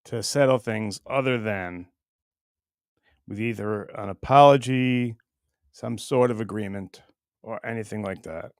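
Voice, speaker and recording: A middle-aged man hosting a livestream with casual, rambling chat, blunt and crude in his joking, going between muttering and loud bursts. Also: fairly low pitch, unhurried, pauses often, no room sound, clean studio sound. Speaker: livestream show host.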